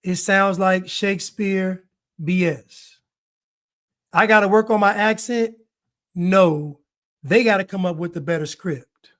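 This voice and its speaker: A man's voice: sits high, slow, fairly bright tone. A man giving mocking, incredulous commentary as an online show host. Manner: sarcastic, incredulous.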